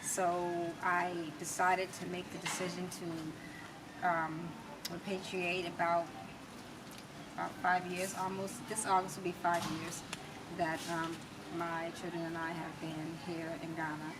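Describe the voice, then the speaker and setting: A woman's voice: on the low side, completely flat delivery, a little slow. American woman, slightly distant, noisy setting. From California, United States. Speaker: interview guest. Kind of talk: conversational, explaining personal views and experience. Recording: distant mic with clear background noise.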